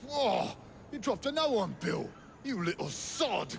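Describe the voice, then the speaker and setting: A man playing a gruff, slow-witted, bullying gang heavy in a video game, with a Cockney accent. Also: sits high, big pitch swings, exaggerated voice. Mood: annoyed. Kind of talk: video game cutscene acting. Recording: street noise behind.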